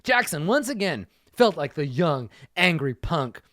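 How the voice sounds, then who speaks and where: A man reads a story aloud and keeps breaking off to laugh and make profane, mocking comments about it. His fairly high voice swings widely in pitch. The sound is dry and close, with no room echo. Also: big pitch swings, measured pace, a bit bright. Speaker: host reading a story aloud. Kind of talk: comedic reading with commentary.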